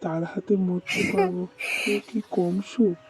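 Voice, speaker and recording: A woman's voice: fairly low pitch, measured pace, far from the mic, natural voice, poor audio. A woman voicing an earnest, devoted young man in love in a melodramatic, soothing cartoon manner. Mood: resigned, loving.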